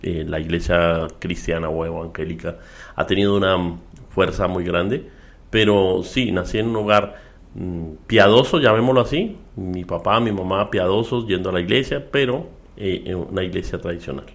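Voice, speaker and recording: A middle-aged man with a Colombian accent, an interview guest and minister, telling his story at a measured pace with frequent fillers. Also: deep voice. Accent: Colombian Spanish. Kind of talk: relaxed testimony in conversation.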